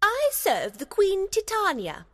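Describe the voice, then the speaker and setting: Very high, proud little fairy. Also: female voice, huge pitch swings, takes it slowly, no echo, clean studio sound, heavily acted. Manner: proud, haughty. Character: proud fairy servant of the fairy queen. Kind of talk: dramatized storybook acting.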